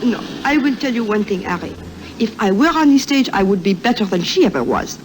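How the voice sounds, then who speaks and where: An actress playing a gossipy, vain young French maid in an old radio drama, confiding and animated, with a French accent. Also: female voice, medium pitch, brisk, natural voice. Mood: boastful, gossipy. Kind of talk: 1950s radio drama acting. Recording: noisy vintage radio recording.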